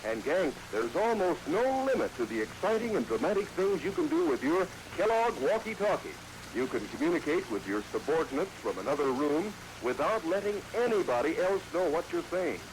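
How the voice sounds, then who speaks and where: A man's voice: fairly high pitch, very animated, normal speed. Peppy 1940s radio ad man. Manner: peppy, salesman-like. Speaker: radio ad announcer. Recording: hissy 1940s radio recording.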